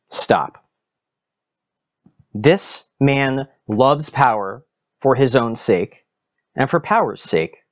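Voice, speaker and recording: An American man, a podcast host, gives a dramatic, deliberate reading of a political commentary with weighted pauses. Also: mid-range voice, darkish tone. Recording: dull lo-fi sound with music behind.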